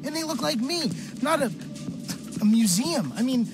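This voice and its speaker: A man's voice: extremely high pitch, lively intonation, moderate pace. An actor with an American accent playing a whiny, entitled young male slacker in a video game, petulant in manner. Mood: sulky. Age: young adult. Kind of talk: video game cutscene acting. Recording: music behind the voice.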